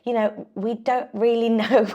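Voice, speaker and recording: A woman's voice: a little high, little pitch movement, measured pace, mellow, dull audio. A woman in her mid twenties from England, hosting an interview podcast and asking questions in an encouraging way, often repeating words. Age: 20s. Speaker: podcast host. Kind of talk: casual interview talk.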